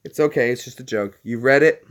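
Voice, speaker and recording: A chatty man from Tennessee with a neutral American accent, vlogging casually to his viewers. His pitch sits in the middle range. He jokes around and walks through things step by step. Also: a little fast. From Tennessee, United States. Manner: chatty and jokey, explains step by step. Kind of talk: casual chat to camera.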